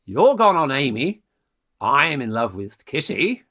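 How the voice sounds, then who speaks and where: Man as lovestruck young English student schemer for radio farce: bright, forceful, exclamatory, bossy, swooping in pitch. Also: sing-song, unhurried, exaggerated voice. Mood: excited, scheming. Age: young adult. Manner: exclamatory, bossy, jolly old-fashioned slang. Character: brash, scheming young Victorian Oxford undergraduate in love. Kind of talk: theatrical radio farce acting.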